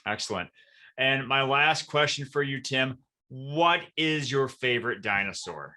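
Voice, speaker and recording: A man's voice: medium pitch, animated, a little slow, bright, forceful tone. A man hosting a conversational podcast interview in an earnest, encouraging manner. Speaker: podcast host.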